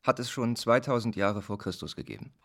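An actor playing an earnest militant squatter commander in a radio play, speaking in a deliberate, halting, emphatic way. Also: male voice, mid-range voice, fairly bright tone. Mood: earnest, measured. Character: earnest male militant squatter commander proposing defence plans. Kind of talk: German radio play acting.

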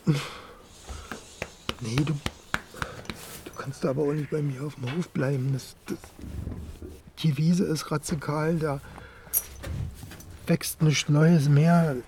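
An actor playing a worn-out, tender village farmer with a Berlin-Brandenburg accent, speaking haltingly and colloquially in a radio play. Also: male voice, a little high, level tone, noisy background. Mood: troubled, tender. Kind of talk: naturalistic radio play acting.

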